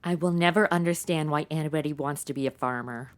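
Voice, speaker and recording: A woman's voice: deep voice, fast talker, lightly acted. A woman playing a sassy modern young woman in a comedy audio drama, sarcastic, blunt and exasperated. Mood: incredulous. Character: sassy modern young woman stuck in old radio westerns.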